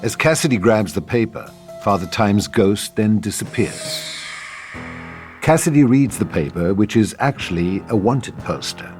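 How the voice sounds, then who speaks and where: Low-voiced man narrating over music. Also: unhurried, darkish tone. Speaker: narrator reading stage directions. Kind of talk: scripted narration.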